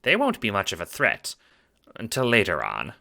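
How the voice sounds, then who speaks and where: A man's voice: lowish voice, huge pitch swings, normal speed, creaky, bright, forceful tone, exaggerated voice. A man voicing a kindly, chipper old grandmother in an audio drama, doting and full of old-fashioned endearments.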